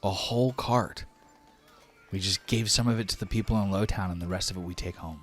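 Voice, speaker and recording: A man's voice: low-pitched, a little fast, soft background music. A man playing an earnest, hesitant small youngster who pleads his case in a tabletop game. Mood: tentative scheming.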